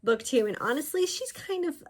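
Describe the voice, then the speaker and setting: A woman author talking informally about books, in an animated storytelling way. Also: high-pitched, normal speed. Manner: animated storyteller, voicing characters' thoughts in quotes. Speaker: author discussing own books. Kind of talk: chatty informal book talk.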